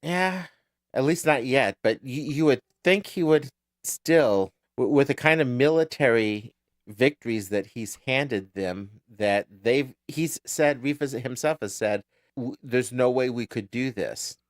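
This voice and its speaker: A man's voice: medium pitch, unhurried, dry recording, clean studio sound. A man co-hosting a podcast, chatting casually through an episode recap, often repeating words as he starts a thought. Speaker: podcast co-host.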